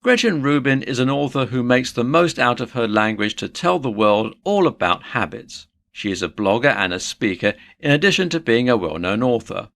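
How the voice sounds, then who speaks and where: A male narrator with a gravelly edge delivers a scripted explainer. His pitch moves around freely in an animated way, and he addresses the listener with upbeat presenter phrasing. The voice sits in a middle register. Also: normal speed, few pauses.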